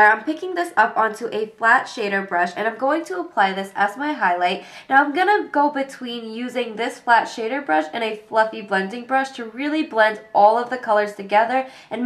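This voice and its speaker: A young woman with a cold and a congested voice, calmly talking through a makeup tutorial step by step. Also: fairly high pitch, moderate pace, hardly any pauses. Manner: calm step-by-step explaining with fillers. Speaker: beauty vlogger.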